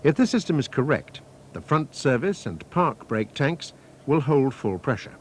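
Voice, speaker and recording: A man reading scripted technical instructions as a training film narrator, in a measured, methodical, formal manner. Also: mid-range voice, very animated. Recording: faint noise, archival training film soundtrack.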